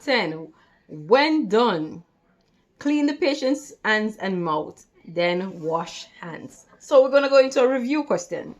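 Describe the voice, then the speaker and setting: A woman reads through lesson material as a tutor would, at a slow pace and a mid-range pitch. She is deliberate and breaks the instructions into short, separate phrases, step by step. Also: animated. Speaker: nursing assistant tutor. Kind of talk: instructional read-along lesson.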